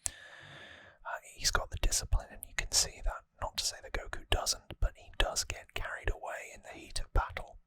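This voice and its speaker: An ASMR host speaking entirely in a breathy whisper close to the microphone, softly and informally explaining a story recap. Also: moderate pace, no room sound. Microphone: close microphone, dry sound.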